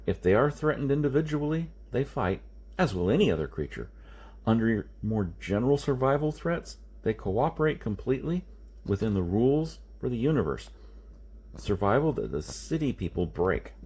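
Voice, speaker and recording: Man reading as an emphatic, husky science fiction hero. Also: mid-range voice, big pitch swings, normal speed, a little mellow. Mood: explanatory. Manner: emphatic, explanatory. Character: insistent, reasoning man lecturing his listeners, science fiction hero. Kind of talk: audiobook character dialogue.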